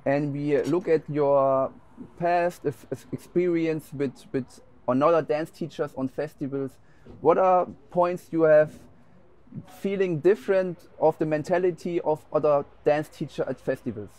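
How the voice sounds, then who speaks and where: Interviewer, male, German native speaker, slightly higher pitch, hesitant English. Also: darkish tone. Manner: halting, searches for English words. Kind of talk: festival interview.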